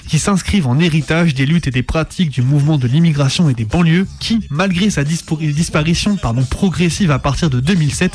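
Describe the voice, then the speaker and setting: A man presenting on radio, reading a scripted militant documentary text in measured phrases. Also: on the high side, darkish tone, breathy edge. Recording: music playing under the voice. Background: music.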